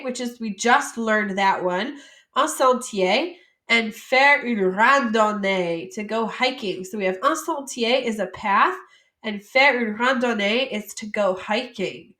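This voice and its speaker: A woman teacher from the United States walks students through French vocabulary, pronouncing each word with care before chatting about it in relaxed English. Her voice is bright and on the high side. The sound carries some echo from the room. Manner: reads French words slowly, then explains in casual English. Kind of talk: vocabulary lesson.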